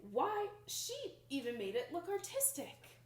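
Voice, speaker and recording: A young adult woman reading a picture book aloud to children in an expressive, emphatic storytelling manner. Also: high voice, unhurried. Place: small plain indoor room. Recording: roomy indoor echo.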